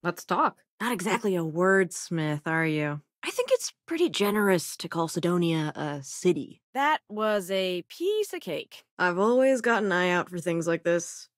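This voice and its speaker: A woman's voice: fairly low pitch, big pitch swings, measured pace, hardly any pauses, dry recording, clean studio sound. An actor, a young woman, voicing a clever, wry and self-assured renegade scientist who crews a spaceship in a video game. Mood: dry, easygoing.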